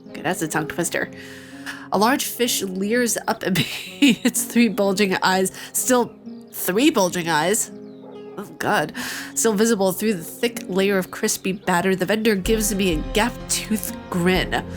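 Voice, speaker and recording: A woman's voice: medium pitch, unhurried. Playful woman reading a game aloud. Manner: playful, joking asides between narrated passages, frequent laughs. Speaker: let's play host. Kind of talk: reading a visual novel aloud with commentary. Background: game music.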